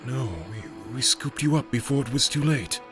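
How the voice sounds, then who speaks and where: A man's voice: medium pitch, moderate pace, close to an everyday voice. A man acting a reassuring, concerned companion in an audio drama. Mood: concerned, soothing. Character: reassuring, concerned ally at a sickbed. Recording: dramatic music behind the voice.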